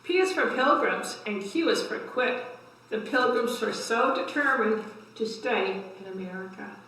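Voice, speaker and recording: Bright older woman reading in an echoey room. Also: on the high side, measured pace, a little hiss or hum. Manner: simple explanatory asides and questions to young listeners. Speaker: library story time host. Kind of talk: reading picture books aloud to children. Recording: echoey room, distant microphone.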